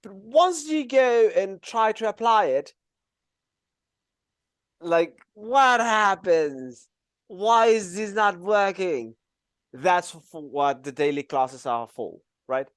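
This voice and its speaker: A man's voice: sits high, expressive, takes it slowly, fairly bright tone, clear, resonant tone, no room sound, studio quality. A man from Mexico with a Mexican accent, teaching a live online animation class in a chatty way, with many fillers and laughs. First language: Spanish. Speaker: animation instructor.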